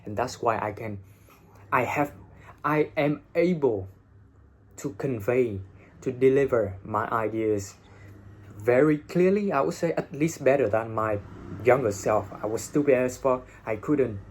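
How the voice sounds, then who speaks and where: A young man from Vietnam with a Vietnamese accent and a slightly husky voice, giving blunt, informal English-learning advice and swearing freely, recorded on a phone. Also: mid-range voice, measured pace, a little mellow. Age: young adult. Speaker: English teacher and video host. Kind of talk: casual advice talk to camera. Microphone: phone, no separate microphone.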